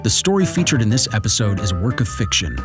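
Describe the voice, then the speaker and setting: Casting: male announcer, slightly low voice, scripted read over music. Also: very animated, fast. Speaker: podcast announcer. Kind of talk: scripted outro narration. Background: instrumental music.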